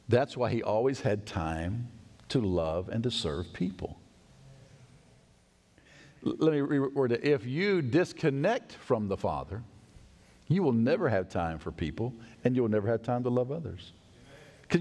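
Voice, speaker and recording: Lively middle-aged man preaching in a church. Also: medium pitch. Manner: animated, acts out quick hurried voices and drops to a hush. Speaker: pastor. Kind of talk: sermon. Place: large church sanctuary with congregation. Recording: long church reverb.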